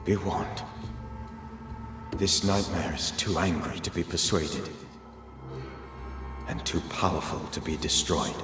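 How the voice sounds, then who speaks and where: A man's voice: sits low, steady tone, slow, pauses often. A man playing a grave, sorrowful ghost of a banisher mentor in a video game cutscene, speaking with solemn, old-fashioned formality. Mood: resigned. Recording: reverberant ghostly echo, music behind.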